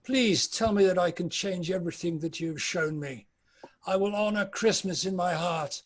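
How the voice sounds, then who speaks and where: A man acts out an old British miser in a dramatic reading. The voice sits high for a man. He is anguished and repentant, with a theatrical, pleading delivery. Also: normal speed. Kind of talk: dramatic table read.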